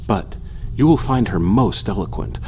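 Man acting a theatrical, deep-voiced asylum doctor. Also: animated, moderate pace, a little mellow, faint music behind, close to an everyday voice. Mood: excitable, fawning. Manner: theatrical, overly courteous, formal. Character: unctuous, eccentric male asylum doctor, grandly zealous. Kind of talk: gothic radio drama acting.

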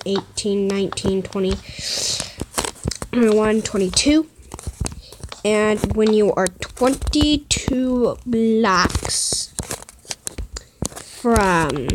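Slow-talking woman narrating her block building. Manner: counts aloud and says boom while placing blocks. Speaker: game builder. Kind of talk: casual tutorial commentary. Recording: steady background noise. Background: running water.

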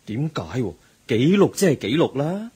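Radio play: a kindly, earnest teacher, Hong Kong Cantonese, husky voice with animated lilt. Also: male voice, on the high side, normal speed, full character voice. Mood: lecturing. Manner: lecturing, earnest. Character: patient middle-aged teacher, moralizing and kind.

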